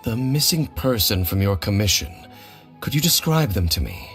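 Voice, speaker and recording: Reserved mysterious wanderer, lowish voice, formal measured speech, video game dialogue, soft music behind. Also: male voice, big pitch swings. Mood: calm.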